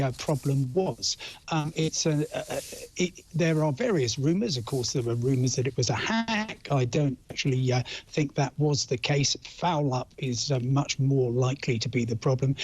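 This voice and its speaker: A British man speaking as a travel reporter in a live news interview, explaining in a halting, understated way. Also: on the high side, a little mellow, breathy edge. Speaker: travel journalist reporting as guest expert. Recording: dull lo-fi link.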